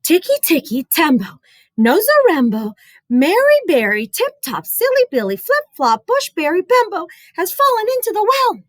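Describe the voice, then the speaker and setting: Lady doing a little storybook boy, super high n swoopy, urgent, begging for help. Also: wildly swooping pitch, moderate pace, no room sound, exaggerated voice. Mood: breathless, pleading. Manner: urgent, breathless, pleading. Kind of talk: storybook read-aloud character voice.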